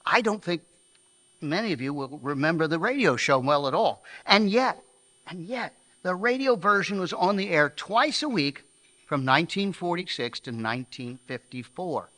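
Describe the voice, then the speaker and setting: A man with a fairly high, very bright voice introduces a live show from the stage. He phrases things emphatically, like a showman, and his voice rings in a reverberant hall. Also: expressive, moderate pace. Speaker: presenter and member of a radio re-creation troupe. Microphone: stage microphone.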